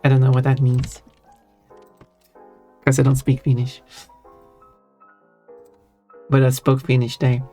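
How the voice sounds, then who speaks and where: Streamer, relaxed unhurried delivery, self-correcting chatter, faint music bed. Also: mid-range voice. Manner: rambling, self-correcting, giggly. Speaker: live streamer. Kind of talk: casual live-stream chat while drawing. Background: faint background music.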